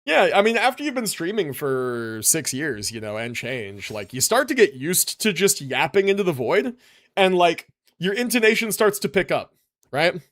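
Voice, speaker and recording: A young man chatting on a live stream, his slightly high voice swinging up and down as he rambles along. He keeps tagging his sentences with "right?" and "like," and sometimes runs his syllables together. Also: moderate pace. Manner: animated, rambling, says "right?" and "like" often. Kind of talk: casual live-stream chat.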